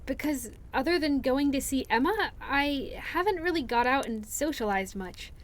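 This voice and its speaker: A woman's voice: sits high, expressive, moderate pace. A woman playing a shy, anxious, affectionate young friend in an audio roleplay, speaking in a hesitant, rambling, earnest way. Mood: nervous, sheepish. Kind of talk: interactive audio roleplay acting.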